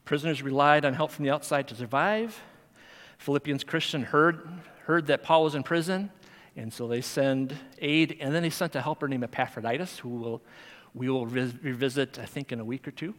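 A man's voice: mid-range voice, moderate pace, a bit bright. A man teaching Sunday school, giving a sermon in a conversational way with fillers and restarts. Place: church sanctuary. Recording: long hall reverb.

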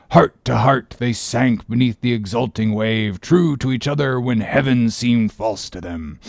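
A man's voice: medium pitch, gravelly, dry recording, studio quality. A man voicing a brooding, grandiloquent whaling ship captain in a solemn, intense, declamatory way for an audiobook. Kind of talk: dramatic audiobook character reading.

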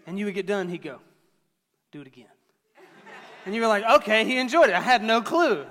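A man's voice: high-pitched, animated, a little fast, a bit bright, in a large hall, a faint crowd. A man in his thirties preaching a sermon to a church, conversational and storytelling with self-deprecating humor. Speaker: pastor.

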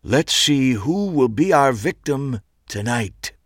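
A man voicing a showy male stage magician with dramatic, drawn-out announcing in a storybook reading. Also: mid-range voice, animated, fairly bright tone, breathy edge, no room sound, clean studio sound, pitched above the performer's own voice, full character voice. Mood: theatrical.

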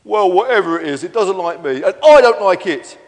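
Man putting on an excitable, high-pitched naive young stegosaurus. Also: a little fast. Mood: doubtful, sulky. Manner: stammering, excitable, dim-witted. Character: young male stegosaurus, naive, friendly, easily panicked. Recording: long echoey hall reverb.

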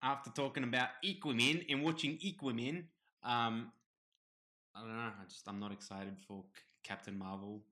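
A man's voice: mid-range voice, steady tone, pushed and bright. A man in his twenties co-hosting a podcast, teasing and bantering in casual chat. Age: 20s. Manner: playful, teasing, quick to banter. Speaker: podcast co-host.

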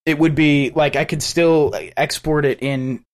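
A man's voice: a little high, fairly even intonation, a little slow. A man co-hosting a podcast in casual chat, rambling and laughing often between thoughts. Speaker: podcast co-host.

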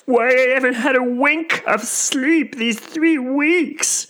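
A storybook reader voicing a frantic, suspicious mother pigeon, shrill and scolding, wailing complaints. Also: slow, a bit bright. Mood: despairing, wailing. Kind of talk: acted storybook character voice.

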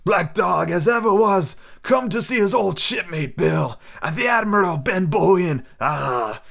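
A sly old pirate, fawning one moment and threatening the next, as a man puts him on. The voice sits well above a normal speaking voice and stretches every word slowly. It has a different, thinner sound from the reader's own. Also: sits high, expressive, gravelly, voice pushed up, heavily acted. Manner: drawn-out, wheedling delivery with sudden sharp rises. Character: fawning, menacing old male pirate sailor.

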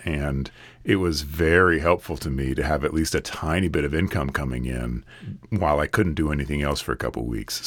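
A man hosting a solo podcast, his voice a bit raspy from a recent illness, talking in an earnest, steady, advice-giving way. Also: a bass voice, no echo. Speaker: podcast host. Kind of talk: casual solo podcast monologue.

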